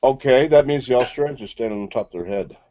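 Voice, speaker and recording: A man's voice: mid-range voice, fast talker, no echo, dull audio. A man in a heated online voice chat, blustering, cursing and repeating himself. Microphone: computer speakers, no headset.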